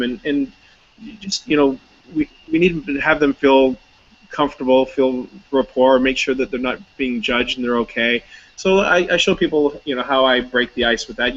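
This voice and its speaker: A man's voice: medium pitch, a bit bright, muffled recording. A man talking casually as an interview guest, chatty and anecdotal, with many fillers and restarts. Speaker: hypnotist, guest.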